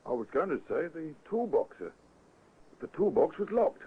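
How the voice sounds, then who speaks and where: An actor playing a deferential, observant police constable, formal and precise, in old-time radio drama style. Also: male voice, a little high, big pitch swings, moderate pace, breathy edge. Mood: respectful. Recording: muffled vintage radio recording, narrow band.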